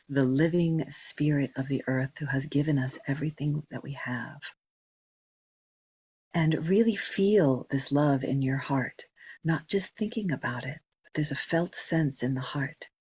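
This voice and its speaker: Female meditation guide, soft husky breathiness, gentle spaced-out phrasing, dry but dull lo-fi sound. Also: low voice, no room sound. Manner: slow, gentle instruction with long pauses. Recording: dull, muffled lo-fi recording.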